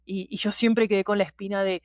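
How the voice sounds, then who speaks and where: A woman's voice: mid-range voice, little pitch movement, fairly quick, dry recording, clean studio sound. A woman with a Latin American Spanish accent that uses vos, talking casually as a podcast guest and checking in with her listener. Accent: Latin American Spanish with voseo. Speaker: guest, podcast fiction producer.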